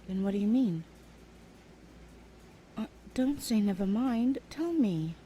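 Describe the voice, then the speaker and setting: A young adult woman voicing a shy, sweet roommate in an ASMR audio roleplay, speaking softly and hesitantly with breathy whispered asides. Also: medium pitch, animated, slow pace, a little hiss or hum. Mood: eager, sweet. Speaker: voice actor.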